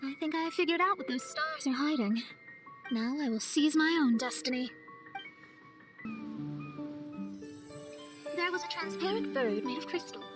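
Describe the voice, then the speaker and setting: A wistful young astrologer in a video game, with a high voice. She speaks in measured, reflective, eloquent phrases while music plays clearly behind her. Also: animated. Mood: solemn, tender.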